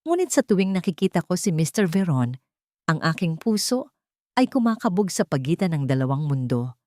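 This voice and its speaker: A woman's voice: lowish voice, sing-song, moderate pace, a little mellow, no room sound, studio quality. A woman reading scripted first-person narration in a dramatic storytelling style.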